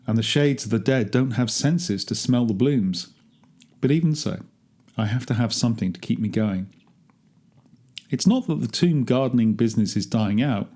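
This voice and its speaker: A man's voice: medium pitch, darkish tone, lightly acted. A man reading a dramatic monologue as a wry, grumbling gardener among ancient tombs, chatty and darkly humorous. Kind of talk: first-person dramatic monologue, read aloud.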